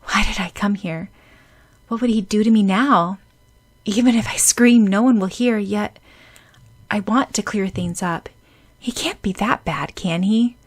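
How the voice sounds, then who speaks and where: A woman voicing a spirited teenage schoolgirl with second sight, earnest and quick to protest. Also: medium pitch, slightly rough. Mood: anxious. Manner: indignant, pleading, earnest.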